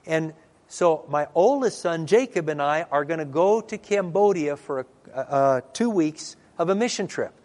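A middle-aged man, a pastor, telling stories in a sermon and acting out the dialogue in a lively way. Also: on the high side, moderate pace. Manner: lively storyteller who acts out dialogue. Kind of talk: storytelling sermon. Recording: long reverb in a large hall.

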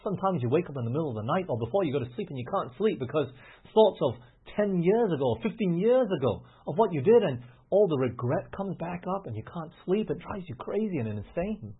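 Male preacher, earnest and emphatic, raspy breathy tone, lo-fi phone-like recording. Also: a little high, very animated, fairly quick. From grew up in England, Australia and the US. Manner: earnest, rising to emphatic, frequent restarts. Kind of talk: sermon.

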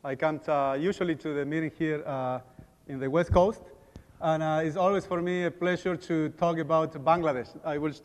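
Man, middle-aged, halting speech, lots of fillers, fairly high voice. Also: fairly even intonation. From Argentina. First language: Spanish. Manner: halting, many fillers and restarts. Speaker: dentist giving a talk. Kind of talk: conference talk with prayer. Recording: echoey hall.

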